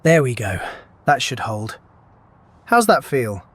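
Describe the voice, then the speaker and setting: A man's voice: medium pitch, sing-song, takes it slowly, fairly bright tone, breathy edge, heavily acted. An actor playing an easygoing, flirtatious male field doctor in an audio drama, wry and laid-back. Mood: wry, relaxed.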